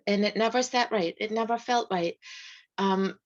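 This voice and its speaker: A middle-aged woman, a life coach, speaking softly in a casual video call interview, with light laughs. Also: medium pitch, normal speed, slight background noise. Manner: soft-spoken, often laughs lightly. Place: home room. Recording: slightly dull video call audio.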